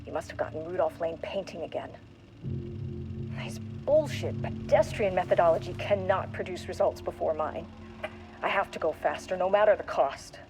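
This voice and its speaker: A woman's voice: on the low side, normal speed, music in the background, lightly acted. A woman playing an obsessive, coldly ambitious research scientist, clipped and clinical, recording a log. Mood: driven, frustrated.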